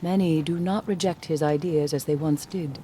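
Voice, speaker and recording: Brief: woman acting composed female game leader, dark soft timbre, mid pitch, earnest, faint noise behind. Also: fairly even intonation, normal speed, close to an everyday voice. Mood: earnest, concerned. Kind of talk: scripted video game dialogue.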